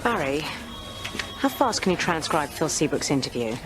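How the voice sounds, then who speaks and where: A woman's voice: low-pitched, big pitch swings, normal speed, over music. A woman playing a businesslike, analytical senior detective in an audio drama. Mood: brisk command. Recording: clear background noise, ambience.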